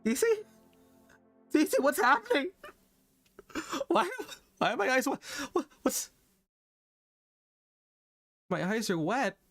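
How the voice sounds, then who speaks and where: A man voicing a lovestruck undead patchwork monster in an earnest, halting, pleading theatrical monologue. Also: very high-pitched, wildly swooping pitch, gravelly, fairly bright tone, no echo, studio quality, soft background music, voice pushed up, heavily acted. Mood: tearful, bewildered. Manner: halting, earnest dramatic monologue with repeated phrases.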